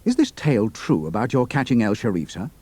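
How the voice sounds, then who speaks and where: A brisk, plucky young flying officer in an audio drama. He has a British accent and a mid-range voice with lively, mobile intonation. The recording is very dry. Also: mid-range voice, no echo. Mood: clipped, cool.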